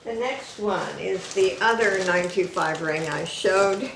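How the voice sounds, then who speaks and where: Lady with a loud voice selling rings, slow, echoey room, faint hiss. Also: mid-range voice, vocal fry. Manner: loud, slow and deliberate, item by item. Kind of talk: casual live-stream selling.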